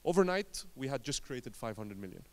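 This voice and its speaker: Male conference speaker, personal storytelling, emphatic short phrases, dramatic pauses, from Lebanon. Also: mid-range voice, very animated, a little mellow.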